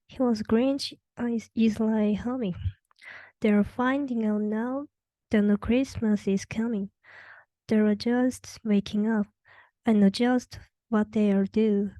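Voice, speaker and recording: A young woman narrates a children's story aloud. Her voice is fairly high yet soft-edged and mellow, and she takes the lines slowly. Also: fairly even intonation, no room sound, rough recording. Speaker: storybook reader.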